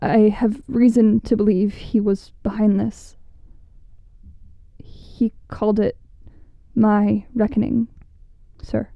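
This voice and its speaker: A woman playing an uneasy, deferential subordinate who confronts her master in an audio drama, hesitant and hushed. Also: mid-range voice, flat delivery, slow pace, gravelly, pauses often, no echo, muffled recording. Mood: nervous, hesitant.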